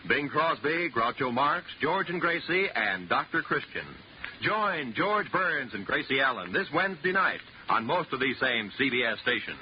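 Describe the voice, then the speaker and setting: A man's voice: medium pitch, big pitch swings, moderate pace. A man announcing on 1950s network radio, reading a scripted promo and program continuity. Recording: muffled, faintly noisy vintage radio recording.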